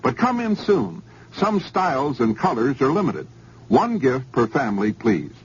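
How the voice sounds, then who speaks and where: A man's voice: medium pitch, sing-song, unhurried, breathy edge. A man reading a radio commercial with upbeat salesman patter. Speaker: commercial announcer. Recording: dull old radio broadcast.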